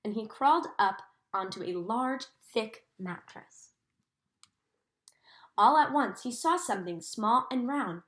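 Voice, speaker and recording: A woman with a somewhat high voice reads aloud like a schoolteacher. Her delivery is deliberate and expressive, shaped to instruct young listeners. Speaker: teacher reading aloud. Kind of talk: expressive storybook read-aloud with comprehension questions.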